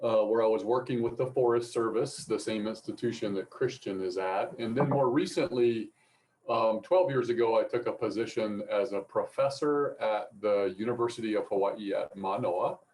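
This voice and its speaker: A middle-aged man from Appalachia speaking as a professor on a panel, explaining things in a measured way. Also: on the low side, darkish tone, rough recording. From Appalachia, mountains of North Carolina and Virginia. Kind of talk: informal panel talk and explanation.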